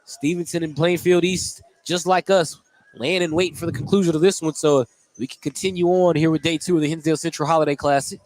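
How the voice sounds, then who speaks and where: A man with a fairly high voice works as a basketball play-by-play announcer. He delivers live radio commentary, following the game as it happens. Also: fairly even intonation, brisk, darkish tone, no room sound. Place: courtside in a gymnasium.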